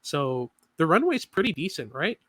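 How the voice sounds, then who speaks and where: A man co-hosting a sports podcast, talking through analysis in a quick, filler-heavy way with many restarts. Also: fairly high pitch, sing-song, fairly bright tone, no room sound. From Canada. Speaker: podcast co-host.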